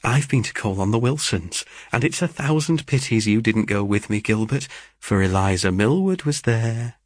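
A man voicing an excitable young sister in an audiobook of a classic novel, chattering emphatically with gossip. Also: fairly low pitch, moderate pace, no echo. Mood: brisk, teasing.

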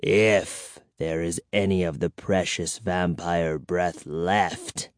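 Guy doing old vampire count for a kids horror story, low n really slow, cold, bossy, softly threatening. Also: animated, dry recording, studio quality, exaggerated voice. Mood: bitter, hissing. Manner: slow, imperious, soft menace.

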